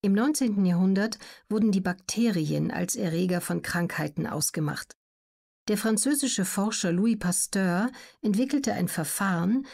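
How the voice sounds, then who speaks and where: A woman narrating scripted educational documentary material as an audiobook reader, measured and carefully articulated. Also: mid-range voice, creaky, darkish tone.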